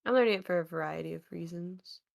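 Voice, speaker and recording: A woman co-hosting a movie watch party stream, making brief, soft-spoken remarks in casual chat. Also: on the low side, fast talker, creaky.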